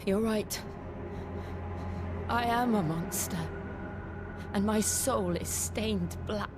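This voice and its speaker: An actress playing a defiant, vengeful warrior woman in a video game, solemn and impassioned, speaking with deliberate weight. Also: mid-range voice, lightly acted. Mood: grave resolve. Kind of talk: dramatic video game voice acting. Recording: loud orchestral game music behind. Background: loud music.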